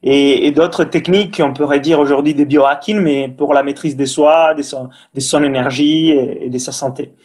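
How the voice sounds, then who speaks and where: Italian man speaking slightly high French. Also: fairly even intonation, normal speed, few pauses, darkish tone. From Italy. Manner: hesitant, many fillers and restarts, non-native French grammar. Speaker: breathing and cold exposure instructor, guest. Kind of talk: casual interview talk.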